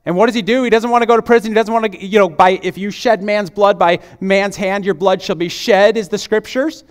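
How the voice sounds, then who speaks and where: A man's voice: high-pitched, steady tone, brisk. Man preaching in a reverberant hall. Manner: prays softly, then preaches with rising, emphatic intensity. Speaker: pastor. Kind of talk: sermon. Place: church stage, large auditorium.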